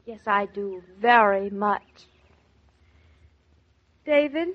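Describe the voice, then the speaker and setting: A woman's voice: mid-range voice, extremely slow. An actress playing a playful, affectionate young American newlywed wife who frets over her husband, in old-time radio drama style. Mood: wistful, affectionate. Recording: muffled vintage radio transcription.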